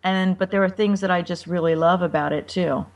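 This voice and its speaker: American woman, soft and a bit distant. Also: fairly low pitch, steady tone. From United States. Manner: friendly, halting with ums. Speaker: cookbook author, guest. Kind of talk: interview answers.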